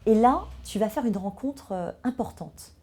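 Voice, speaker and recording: A woman's voice: a little high, expressive, normal speed, mellow. A woman hosting an interview, asking friendly questions with frequent hesitations. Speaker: host.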